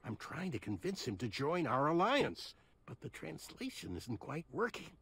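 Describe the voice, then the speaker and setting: An actor playing a sly, scheming little gossip in a fantasy video game, conspiratorial and theatrical. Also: male voice, a little high, big pitch swings, moderate pace, a bit bright, breathy edge, exaggerated voice. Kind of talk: animated video game acting.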